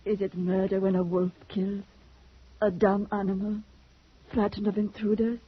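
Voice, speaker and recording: A woman's voice: medium pitch, level tone, moderate pace, husky, no echo, natural voice. An actress playing a troubled, intense woman in a 1940s radio drama, with a theatrical, pleading manner. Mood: brooding. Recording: dull vintage radio recording.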